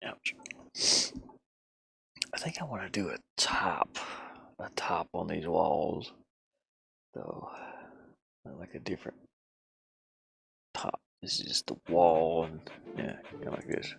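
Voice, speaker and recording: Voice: deep voice, slow pace, creaky, pauses often, breathy edge, dry recording. A person giving casual, unscripted commentary while working on their own game, often muttering to themselves as they go. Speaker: indie game developer showing own game in progress.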